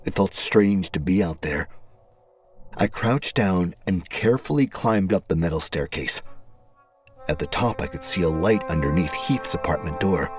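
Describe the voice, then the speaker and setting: Low-pitched man narrating a horror story. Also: moderate pace. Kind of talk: scripted first-person horror narration. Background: music.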